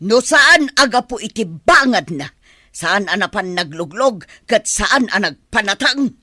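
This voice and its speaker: A woman's voice: mid-range voice, lively intonation, measured pace, sharp tone, no room sound, studio quality. A woman with an Ilocano accent playing a scornful, quarrelsome old grandmother in an audio drama. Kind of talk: radio drama acting.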